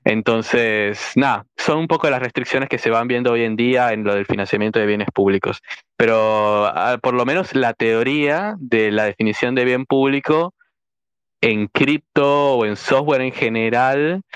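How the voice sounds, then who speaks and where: A man's voice: mid-range voice, normal speed, fairly bright tone, clean tone, no room sound. A Venezuelan man whose accent has picked up Argentine traits, a guest explaining ideas in a casual online audio chat with many fillers. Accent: Venezuelan with Argentine touches. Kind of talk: casual podcast conversation. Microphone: audio space call.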